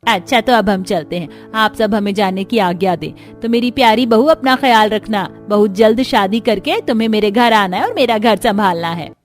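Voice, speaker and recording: A woman's voice: medium pitch, normal speed, hardly any pauses, lightly acted. A woman voicing a rich, doting mother-in-law in a cartoon story, sweetly formal. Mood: gracious, doting. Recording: music under the voice.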